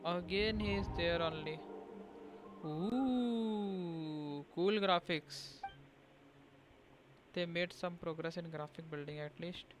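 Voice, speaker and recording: An Indian-accented man commenting casually on a game livestream. His reactions are short and offhand, with music clearly playing behind him. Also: on the high side, slow pace, pauses often. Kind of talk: casual live gameplay commentary. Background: clear video game music.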